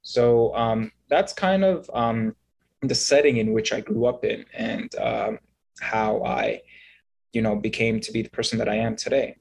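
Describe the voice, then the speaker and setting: Guy in his 30s from egypt, grew up in canada, being interviewed, lots of pauses, explains stuff, mid pitch. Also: creaky, a little hiss or hum, poor audio. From Egypt, raised in Canada. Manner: halting, explanatory, checks in with "right?". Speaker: interview guest. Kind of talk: conversational storytelling.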